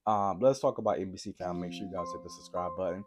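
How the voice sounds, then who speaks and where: A man with a cold and a sore throat, excitedly recapping a TV episode for his viewers and often checking in with a quick "right?". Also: low voice, noisy background. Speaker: TV episode reviewer. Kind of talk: casual TV recap vlog.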